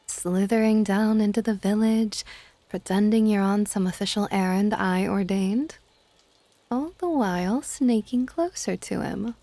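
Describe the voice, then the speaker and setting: Stately older dragon goddess played by a woman, unhurried and mellow, fondly exasperated, formal and soft, for ASMR audio roleplay. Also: medium pitch, no room sound. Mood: gently scolding. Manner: soft-spoken, breathy asides, formal wording.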